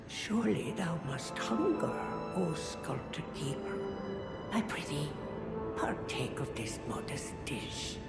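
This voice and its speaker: A woman playing a devout, grieving aged crone in a fantasy game, with creaky, breathy voice and slow, ceremonious archaic speech. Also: lowish voice, heavily acted. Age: older. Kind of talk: theatrical fantasy game acting. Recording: echoey reverberant hall, music behind.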